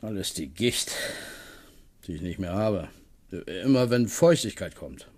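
A man's voice: low-pitched, animated, measured pace, darkish tone. An actor in a radio play playing a kindly, grumbling elderly man who talks matter-of-factly.

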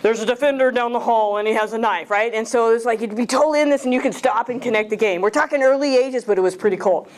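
A woman's voice: a little high, quick pace, hardly any pauses, poor audio. A woman in her fifties giving a casual, jokey talk to a club audience in a small lecture room, using a handheld mic and often asking the room questions. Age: 50s. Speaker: guest speaker.

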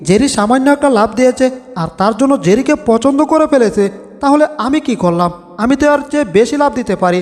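A man voicing an excitable, boastful, lovestruck cartoon cat, exclaiming theatrically to himself. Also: very high-pitched, fast. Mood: jealous, boastful. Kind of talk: Bengali cartoon dub comedy. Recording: long reverb with music.

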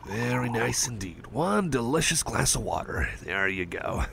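A man speaking a gentle ASMR roleplay as a caring cyborg friend, rambling and stammering, reassuring and soft. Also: medium pitch, sing-song, a little slow, gravelly, slight background noise.

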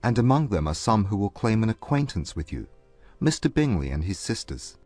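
Guy voicing a proud stiff gentleman, low voice, swings up and down a lot, super dry sound, soft music behind. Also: sing-song, a little fast, dry recording. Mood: earnest formal. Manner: formal, hesitant courtesy. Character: proud reserved gentleman, young adult man. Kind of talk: audiobook drama acting.

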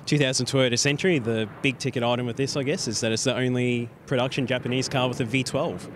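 A young man is interviewed in a showroom and answers into a handheld mic. He talks quickly and casually about his work. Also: medium pitch, fairly even intonation, slight background noise. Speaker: auction sales advisor. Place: indoor showroom.

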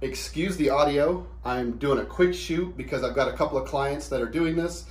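A man in his thirties giving an informal whiteboard explainer in a casual, plain-spoken, conversational way. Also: on the high side. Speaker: product demonstrator. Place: small indoor office room. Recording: roomy, echoey quick camera shoot.

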